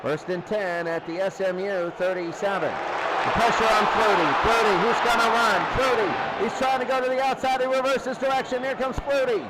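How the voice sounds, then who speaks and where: A man's voice: sits high, steady tone, moderate pace, over a noisy crowd. A man doing play-by-play radio-style football commentary in short, clipped, repeated phrases. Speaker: play-by-play sports announcer. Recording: noisy recording.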